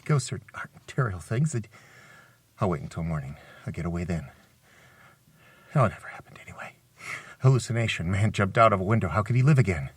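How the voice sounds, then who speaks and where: A man's voice: low-pitched, lively intonation, a little fast, long pauses, breathy edge, no echo. A man playing a panicked, gasping, stammering man trapped in a coffin in a horror radio drama. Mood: fearful, muttering.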